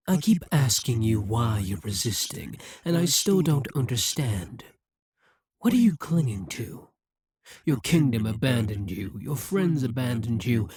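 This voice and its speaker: A man playing a husky, scheming demon king. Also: medium pitch, a little mellow, no echo, studio quality. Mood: exasperated. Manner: coldly menacing, coaxing and exasperated. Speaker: voice actor. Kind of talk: audio roleplay.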